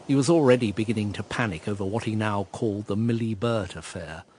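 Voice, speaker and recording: A man's voice: lowish voice, brisk, darkish tone, slightly rough. An actor in a radio drama narrating as a dry, sardonic man, recalling his past. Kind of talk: scripted first-person drama narration.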